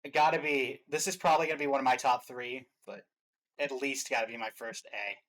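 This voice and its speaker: A man's voice: medium pitch, steady tone, brisk, a bit bright, rough recording. A man giving casual reaction commentary as a co-host, sounding like an enthusiastic game fan.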